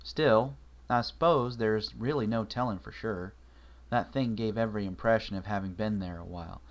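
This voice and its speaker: A man reading a story, voicing a calm, wry man who speaks in a dry, measured, matter-of-fact way. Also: fairly low pitch, close to an everyday voice. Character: calm, wry man comforting his friend.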